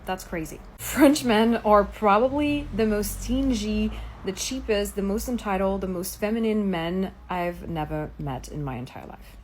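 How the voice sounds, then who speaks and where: A woman's voice: medium pitch, measured pace, a little mellow, slight background noise, poor audio. Woman, medium voice, preachy social media lecture. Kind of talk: social media rant to camera.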